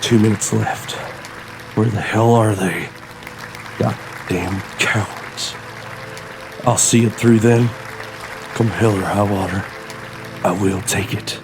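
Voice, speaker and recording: A tense male thief mutters tersely in a low, gravelly voice, with music clearly behind him. He sounds guarded and determined, a desperate man in an audio drama. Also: fairly even intonation, slow, pauses often, a crowd in the background, rough recording. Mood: desperate, resolute.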